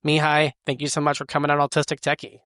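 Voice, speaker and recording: A man's voice: fairly high pitch, completely flat delivery, fast talker, a bit bright, smooth, no echo. Curious male podcast host, dry sound. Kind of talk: podcast hosting, scripted intro then casual chat.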